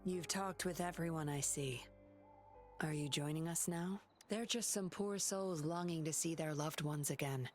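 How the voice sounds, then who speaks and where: An actress voicing a composed, sly woman puppeteer and undercover patroller in a video game, speaking in a cool, deliberate, teasing way. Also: low voice, soft background music. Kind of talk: scripted video game voice acting.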